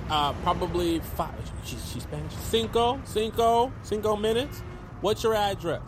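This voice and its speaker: A man's voice: high voice, animated, unhurried, noisy background. An actor playing an anxious, earnest American man of thirty, rambling and apologetic in naturalistic audio drama dialogue. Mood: nervous, apologetic. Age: 30s. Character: anxious, restless thirty-year-old slacker, earnest and apologetic.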